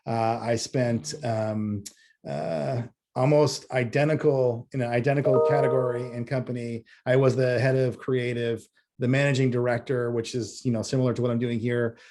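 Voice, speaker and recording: A man from the US East Coast speaks at an ordinary mid pitch in an informal presentation. He feels his way through sentences haltingly, pausing often as he introduces himself. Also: little pitch movement, darkish tone, faint music behind. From US East Coast, Massachusetts. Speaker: company creative director presenting.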